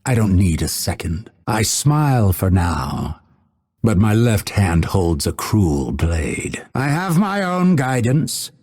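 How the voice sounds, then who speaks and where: An actor playing a proud, scholarly male assassin in a video game, speaking in a measured, formal, dryly witty and haughty way with a husky voice. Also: lowish voice, big pitch swings, a little mellow, full character voice. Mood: cool, dry. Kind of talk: video game character voice lines.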